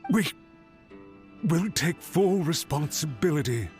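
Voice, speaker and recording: An actor playing an apologetic, deferential official in a video game, speaking with earnest formality. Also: male voice, a little high, lively intonation, slow, darkish tone. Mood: pleading, anxious. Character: apologetic, deferential male official pleading with his superior. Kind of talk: scripted video game voice acting. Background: game music.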